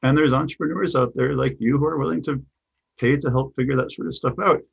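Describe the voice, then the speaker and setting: A man's voice: medium pitch, steady tone, quick pace, gravelly, breathy edge, muffled recording. A middle-aged man with a Chicago accent, answering interview questions in a thoughtful way, often repeating words as he restarts. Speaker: guest, business coach.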